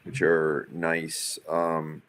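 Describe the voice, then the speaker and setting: A man with a low voice speaks in a casual work meeting. He goes haltingly, catching on the first sounds of words and starting them again as he thinks through plans. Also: monotone, fairly bright tone, a little hiss or hum. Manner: hesitant, halting, repeats word starts. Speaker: live event producer planning a show. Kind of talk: casual business meeting talk.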